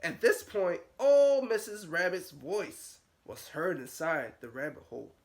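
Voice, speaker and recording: A man's voice: high-pitched, lively intonation, a little slow. A male nursery teacher reads a picture book to little kids. His delivery is sing-song, lifting and dropping through the sentences as he tells the tale. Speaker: nursery school teacher reading aloud. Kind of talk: storybook read-aloud for young children.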